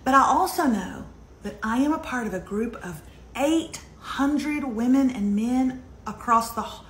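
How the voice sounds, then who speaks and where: A middle-aged American woman speaks to camera in a high, very animated voice that pushes out bright and forceful. She is emphatic and dramatic, leaning on sarcastic humor as she coaches the viewer. The sound is roomy, as in a home kitchen. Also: unhurried, a little hiss or hum. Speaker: online show host and relationship coach. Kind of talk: motivational storytelling to camera.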